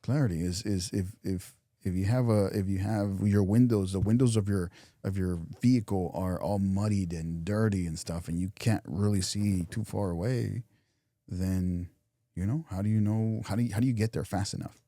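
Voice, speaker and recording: A man co-hosting a podcast, talking casually and reflectively, often restarting phrases and dropping softer for imagined inner thoughts. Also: deep voice, steady tone, normal speed, no room sound. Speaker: podcast co-host. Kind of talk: casual motivational chat.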